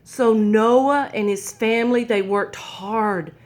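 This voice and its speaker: Slow, gentle woman storyteller. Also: on the high side. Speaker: children's story-time host. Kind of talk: reading a Bible story aloud to children.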